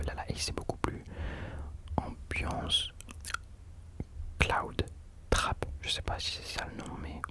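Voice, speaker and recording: A man speaks entirely in a soft, breathy whisper, in the style of an ASMR host. His delivery is casual and chatty commentary, with hesitations and self-deprecating corrections along the way.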